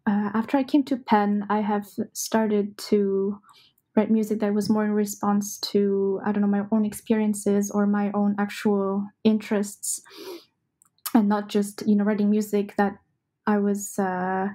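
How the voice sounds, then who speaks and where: A young woman composer answering interview questions in a thoughtful, casual way, with many pauses and fillers. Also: medium pitch, level tone, dark tone.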